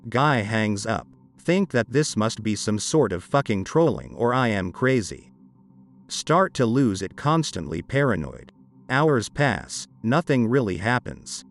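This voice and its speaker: Man narrating creepy stories, lively pitch. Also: unhurried. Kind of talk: scripted narration of horror greentext stories. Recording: dark ambient music behind. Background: dark horror background music.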